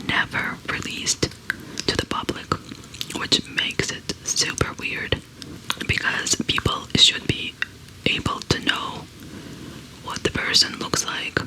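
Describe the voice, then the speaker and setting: A woman in her thirties who hosts ASMR videos, softly whispering a true crime story while she crochets, close to a studio mic. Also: poor audio. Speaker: ASMR host. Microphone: large studio condenser mic close to her face.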